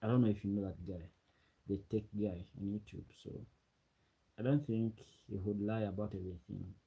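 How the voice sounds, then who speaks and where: A young man with a very dark, husky voice with a gravelly edge. He chats in a relaxed, rambling way on a late-night livestream and often repeats his phrases. His voice sits a little low. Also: normal speed, low-quality recording. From Uganda. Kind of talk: late-night livestream chat, reading comments.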